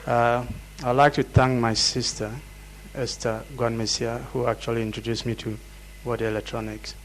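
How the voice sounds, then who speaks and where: Cameroonian man, flat formal thanks. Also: medium pitch, normal speed, slight background noise. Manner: formal, grateful. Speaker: seminar attendee.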